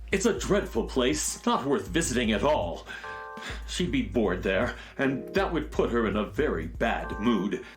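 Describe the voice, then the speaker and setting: An excitable space handyman. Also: medium pitch. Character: eager male space handyman, fussy and secretive. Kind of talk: children's radio drama.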